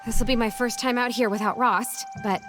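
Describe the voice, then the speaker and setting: A woman playing an earnest, wry young heroine huntress in an animated video game. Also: fairly high pitch, normal speed, music in the background, natural voice. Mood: resolute.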